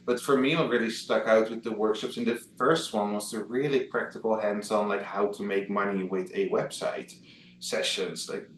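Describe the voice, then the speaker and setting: A man's voice: lowish voice, steady tone, moderate pace, echoey room, slight background noise, poor audio. A man from the Netherlands with a Dutch accent, a guest chatting over a video call, hesitant with many fillers and restarts. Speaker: guest, travel blogger.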